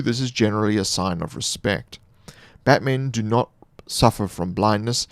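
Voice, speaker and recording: A man reading scripted fantasy monster lore as a narrator, in a measured, formal storytelling manner. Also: fairly low pitch, dry recording.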